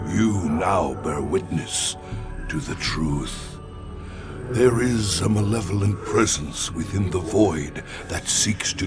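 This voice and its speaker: An actor playing an old, wise alien mystic warrior in a video game cinematic, grave, sorrowful and formal. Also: male voice, low-pitched. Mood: grave, troubled. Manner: formal, portentous, measured. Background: cinematic music.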